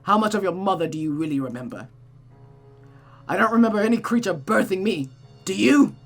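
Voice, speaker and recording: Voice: mid-range voice, animated, a little fast, creaky, soft background music, pitched above the performer's own voice. Narrator acting out a deranged, sadistic, taunting man. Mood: sneering, bitter. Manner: taunting, theatrical, maniacal. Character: sadistic, deranged, mocking man, bitterly cynical.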